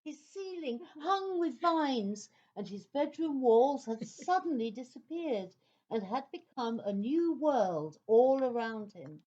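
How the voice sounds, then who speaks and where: A woman narrating a children's story from a script in a theatrical, deliberate manner. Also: high-pitched, big pitch swings, mellow, lo-fi sound.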